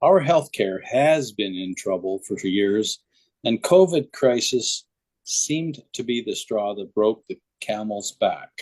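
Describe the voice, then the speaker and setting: A man's voice: fairly low pitch, animated, takes it slowly, a little mellow. A man hosting a podcast, introducing the discussion and interviewing a guest. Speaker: podcast host.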